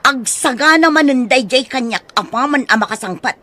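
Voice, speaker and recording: Woman playing a husky, outspoken Filipino older housemaid. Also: sits high, animated, normal speed, sharp tone, full character voice. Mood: earnest, explaining. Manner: exclaiming, emphatic. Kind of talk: audio drama acting.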